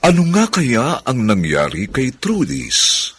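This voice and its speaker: A man with a Filipino accent announcing a radio drama, reading the credits in a formal, measured, dramatic manner. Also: medium pitch, wildly swooping pitch, husky, dry recording. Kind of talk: scripted narration, reading credits.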